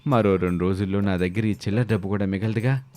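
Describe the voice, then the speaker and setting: A put-upon village man played by a male storyteller grumbles in colloquial speech for a cartoon moral tale. His voice sits fairly low, with music behind it. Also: fast, warm tone, natural voice. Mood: worried grumbling. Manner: colloquial, complaining. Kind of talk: animated moral-story dialogue.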